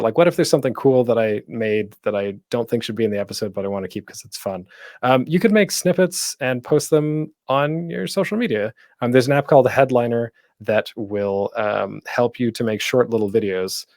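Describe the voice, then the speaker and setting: Male presenter, medium pitch, informal and conversational tips delivery, dry recording. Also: a little mellow, dry recording. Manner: conversational, thinking aloud. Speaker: panel host and podcaster giving tips. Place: at home, online panel.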